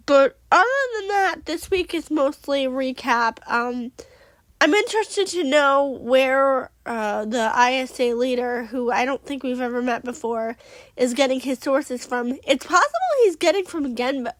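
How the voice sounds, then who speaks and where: A high-pitched woman talks through a casual solo podcast recap, a bit sniffly as she gets over a cold. She rambles along with plenty of fillers and restarts. The sound is dry and close, with no room around it. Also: no room sound. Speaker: podcast host.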